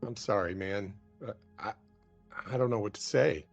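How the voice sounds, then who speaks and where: An actor playing a kind-hearted, concerned sailor in a radio drama, speaking in an earnest, casual modern way. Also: male voice, lowish voice, moderate pace, gravelly, soft background music. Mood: sympathetic.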